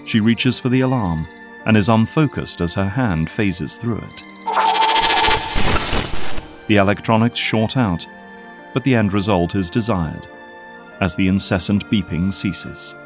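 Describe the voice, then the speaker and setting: Casting: male narrator, low pitch, scripted drama storytelling with light music behind. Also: unhurried, pauses often.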